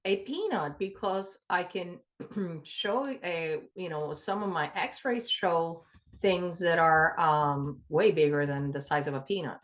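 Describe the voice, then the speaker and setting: A woman's voice: on the low side, takes it slowly. A woman co-presenting in an informal, explanatory way, direct and practical, checking for agreement as she talks.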